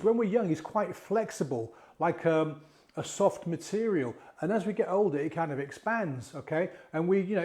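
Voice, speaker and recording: A middle-aged man with a croaky voice from a cold, talking casually to camera about confidence. His voice is slightly high for a man but mellow and warm. He talks in a chatty way, asking rhetorical questions and restarting his phrases. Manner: conversational, asks rhetorical questions, repeats and restarts words. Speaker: mindset coach and hypnotist. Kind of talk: casual coaching talk to camera.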